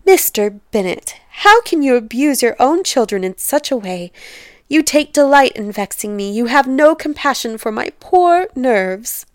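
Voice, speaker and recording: A woman voicing a fussy, excitable, nagging middle-aged wife with a dramatic, complaining manner in a comic storybook reading. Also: on the high side, measured pace, no room sound, pitched above the performer's own voice. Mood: wounded complaint.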